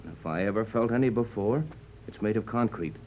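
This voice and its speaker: A muffled old radio recording with light noise carries a man playing a low-voiced American adventurer captain. He is steady, grave and protective, issuing firm, deliberate orders in vintage serial style. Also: close to an everyday voice. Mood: tense, cautious. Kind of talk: 1930s radio serial acting.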